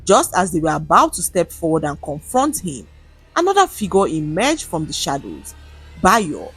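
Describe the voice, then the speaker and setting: A woman with a Nigerian accent narrating a scripted story in an expressive, dramatic manner. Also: on the low side, moderate pace, husky, faint music behind.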